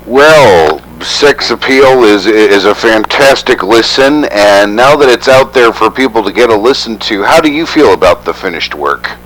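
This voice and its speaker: Guy interviewer with a bouncy, lively voice, bit of hiss in the background. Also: lowish voice, moderate pace, few pauses, poor audio. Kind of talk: interview questions.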